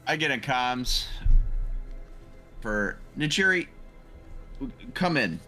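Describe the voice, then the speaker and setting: A man's voice: mid-range voice, lively intonation, takes it slowly, pushed and bright, faint music behind. A man playing in a tabletop roleplaying game, speaking casually in and out of character.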